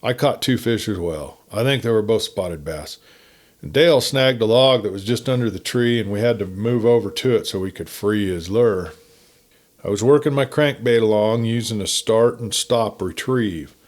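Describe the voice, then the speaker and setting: A man's voice: on the low side, normal speed. A man reading a folksy first-person story in a campfire-tale narration style.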